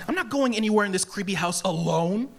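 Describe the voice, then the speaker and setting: Brief: performer as a whiny, nervous teen boy, pitch bouncing around. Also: expressive, quick pace, vocal fry. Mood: whiny scared. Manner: whiny, anxious. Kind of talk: live table read.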